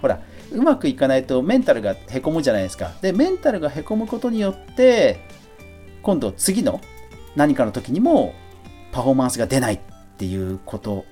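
Middle-aged man, lively mellow podcast chat. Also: medium pitch, brisk, soft background music. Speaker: podcast host. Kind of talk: casual solo talk answering a listener letter.

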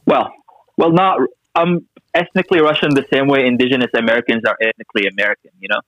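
A man's voice: medium pitch, steady tone, fast talker, a bit bright, dry recording, lo-fi sound. Podcast guest: young man from Syria, dry wit, very close dry sound. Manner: dry, joking storyteller. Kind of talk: casual chat.

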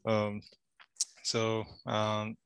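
A man's voice: lowish voice, completely flat delivery, drawn-out words, vocal fry, clear, resonant tone, no room sound. A young man from Rwanda, a guest in a podcast conversation, talking casually and a little hesitantly with frequent fillers. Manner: soft-spoken, hesitant with many fillers.

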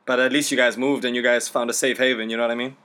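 Male host, fast talker; easygoing and slangy, keeps the chat moving. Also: mid-range voice, little pitch movement, pushed and bright. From Zimbabwe. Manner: upbeat, slangy, quick reactions like "that's dope". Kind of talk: casual interview.

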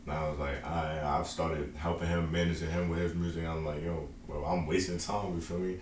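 A man's voice: rumbling low, little pitch movement, a little fast, reverberant, a little hiss or hum. A man from Long Island, a young rapper talking about his music in a loose, rambling, slang-heavy way. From Long Island, New York. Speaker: indie rapper guest. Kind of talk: casual interview.

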